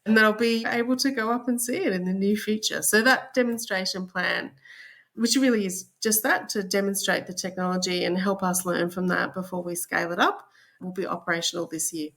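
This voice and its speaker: Australian woman with a gravelly edge. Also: medium pitch, fairly even intonation, a little fast, fairly bright tone. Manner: explanatory, conversational. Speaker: company guest on a podcast. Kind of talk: conversational podcast interview.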